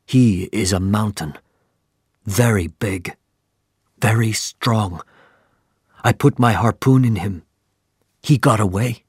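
Brief: narrator playing a blunt harpooner, short broken phrases, slightly low, slow and dry. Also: male voice, husky, no room sound. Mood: matter-of-fact. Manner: short plain broken sentences. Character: big harpooner speaking broken simple English, man.

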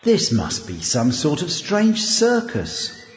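A man's voice: very high voice, very animated, moderate pace, full character voice. A man playing a blunt, hot-tempered, bewildered working man in a dramatized storybook. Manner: blunt, exclamatory. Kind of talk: acted dialogue in a dramatized storybook. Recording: long hall reverb.

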